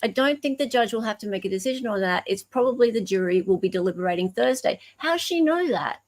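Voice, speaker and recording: A woman with an Australian accent co-hosting a casual discussion of legal emails, explaining things in a halting, self-correcting way. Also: a little high, lively intonation. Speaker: podcast co-host.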